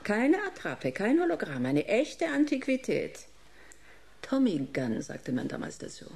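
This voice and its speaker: A woman's voice: mid-range voice, wildly swooping pitch, unhurried, gravelly, a little mellow, slight background noise, heavily acted. An actress playing a smug, murderous female director posing as a gangster boss in a German radio crime drama, savouring her words with theatrical mockery. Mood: mocking, gloating. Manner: mocking, theatrical, savouring each word.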